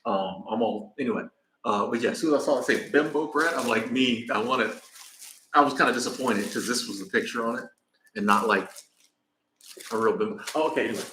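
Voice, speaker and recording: A man with an American accent chats loosely to his live audience in a middle pitch, drifting between stories and shout-outs. His voice carries a roomy echo around it. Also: fairly quick, slight background noise. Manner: rambling, laid-back, thanks viewers by name. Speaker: vlogger. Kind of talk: casual live-stream chat. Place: in Mexico, traveling.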